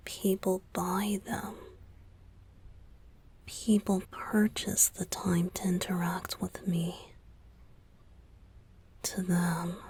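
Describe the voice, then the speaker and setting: A woman voicing a young male idol boyfriend in a romantic ASMR roleplay, tender and clingy, speaking in an intimate, hesitant, breathy whisper. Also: mid-range voice. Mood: confiding, affectionate. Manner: soft, hesitant, pleading, confiding.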